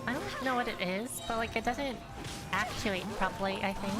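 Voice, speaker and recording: A woman's voice: fairly high pitch, moderate pace. A woman chatting casually as she streams a game, informal and rambling, with game music behind her. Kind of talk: casual gameplay chat. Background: game music and battle sounds.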